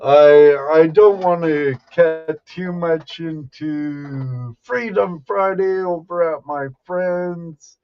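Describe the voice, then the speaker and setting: A man hosting a casual livestream, greeting viewers one by one in a folksy, halting way. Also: fairly high pitch, clean tone. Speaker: livestream host. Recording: dull, lo-fi livestream audio.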